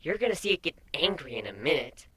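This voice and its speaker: A man's voice: a little high, big pitch swings, quick pace, a bit bright, slightly rough, heavily acted. A man voicing a playful, food-loving young female pony wife in a teasing, earnest storybook reading. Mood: playful grumbling.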